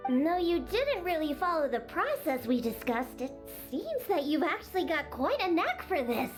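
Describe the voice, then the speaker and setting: Anime game cat-girl child, very high and swoopy, bratty, stubborn, game music underneath. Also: big pitch swings, normal speed. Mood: businesslike. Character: young cat-girl bartender, tsundere, exasperated and stubborn.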